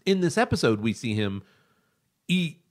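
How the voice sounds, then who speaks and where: A man's voice: fairly high pitch, very animated, moderate pace. A man hosting an analytical discussion podcast, speaking in an emphatic, opinionated way. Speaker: podcast host discussing animation.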